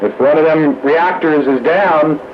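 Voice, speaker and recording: A man's voice: a little high, measured pace. A plain-spoken, matter-of-fact man chatting casually over the radio. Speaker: radio hobbyist in a group conversation. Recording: muffled, noisy two-way radio audio.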